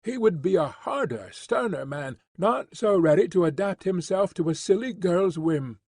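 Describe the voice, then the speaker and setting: A man's voice: high-pitched, animated, moderate pace, darkish tone, no echo, clean studio sound. A man reading an audiobook, voicing a young woman who talks in an earnest, lofty, lightly chiding way. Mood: idealistic. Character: earnest idealistic young woman, gently scolding.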